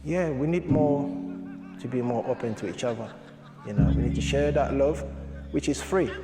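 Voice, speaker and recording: A man with a mid-pitched voice speaks earnestly in an interview. His phrases are short and emphatic, with repeats for stress, and music is clearly heard behind him. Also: moderate pace. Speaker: interviewee in a documentary.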